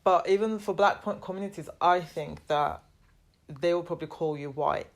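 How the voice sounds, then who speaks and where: Woman host, lively and playful, asks questions with a laugh. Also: fairly low pitch, moderate pace. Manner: lively, teasing, laughs a lot. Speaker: podcast host. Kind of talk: casual interview chat.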